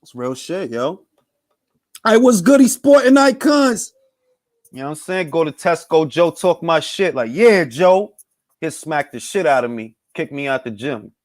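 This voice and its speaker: A man's voice: fairly high pitch, animated, unhurried, no echo, studio quality. A young man hosting a casual boxing talk stream, bantering with loose, profane energy. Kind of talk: casual live-stream chat with viewers.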